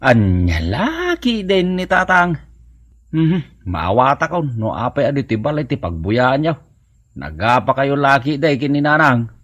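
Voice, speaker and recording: A man's voice: mid-range voice, big pitch swings, a little slow, a bit bright. A man with an Ilocano accent playing an inquisitive son in a village comedy drama, talking in a questioning, colloquial manner. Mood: puzzled, teasing.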